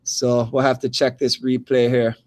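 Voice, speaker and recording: A man doing live radio play-by-play of a fight in short, halting phrases that often repeat. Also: mid-range voice, flat delivery, a little mellow. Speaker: play-by-play commentator.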